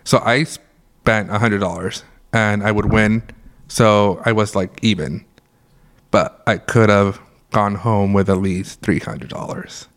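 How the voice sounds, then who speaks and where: A young man with a fairly low voice, hoarse and raspy from just waking up. He rambles through casual stories with a joking, laughing manner, chatting as a podcast co-host. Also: slow pace. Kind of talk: casual chat.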